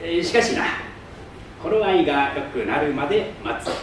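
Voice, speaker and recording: A man's voice: a little high, lively intonation, moderate pace, natural voice. Man playing a pompous old king in an echoey hall. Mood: lofty, reasoning. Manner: pompous, imperious, archaic old-man speech. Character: pompous old king ruling a tiny asteroid. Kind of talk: theatrical staged reading. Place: public hall stage, distant microphone. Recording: echoey hall from far off, faint noise.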